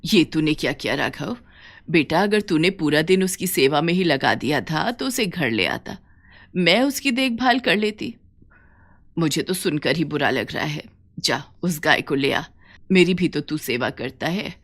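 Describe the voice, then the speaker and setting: A woman's voice: sits low, expressive, measured pace, pitched below the performer's own voice, exaggerated voice. A woman voicing an elderly village mother in a cartoon story, caring and weary, plaintive and tender in manner. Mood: gently scolding. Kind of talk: Hindi cartoon story dialogue.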